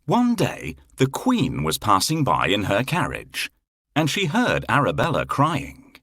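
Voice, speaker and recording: A man narrating a children's fairy tale in an expressive, theatrical, deliberate way. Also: medium pitch, no echo, studio quality. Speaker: storyteller.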